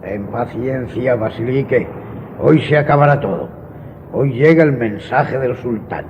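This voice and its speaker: An actor playing a proud, resolute vizier father facing death, measured and solemn, in a radio drama. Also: medium pitch, close to an everyday voice. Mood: calm resolve. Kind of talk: Spanish radio drama acting. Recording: dull lo-fi audio with faint noise.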